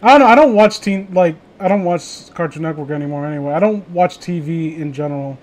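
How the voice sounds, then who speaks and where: A man's voice: fairly high pitch, fairly quick, a little mellow. A man chatting casually as a host, rambling, blunt and opinionated, with frequent swearing. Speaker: host of a casual group chat.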